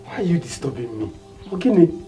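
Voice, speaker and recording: A man's voice: a little high, very animated, a little fast, warm tone, far from the mic, soft background music. A man playing a lazy, drowsy husband who whines and pleads to avoid chores. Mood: whining. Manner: whiny, pleading. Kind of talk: skit acting.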